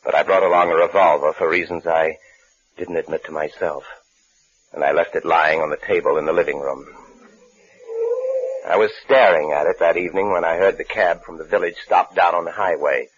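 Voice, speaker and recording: An actor playing a bitter, disgraced lawyer and husband in a 1950s radio suspense play, brooding and curt. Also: male voice, low-pitched, steady tone, brisk, natural voice. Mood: brooding, resigned. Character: bitter, disgraced lawyer husband recounting his own downfall. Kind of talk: 1950s radio suspense acting with first-person narration. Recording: muffled old radio broadcast recording.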